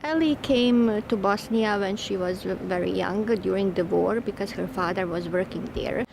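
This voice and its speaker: Woman, gravelly creaky voice, kinda hesitant, noisy outside. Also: medium pitch, normal speed. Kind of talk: casual interview.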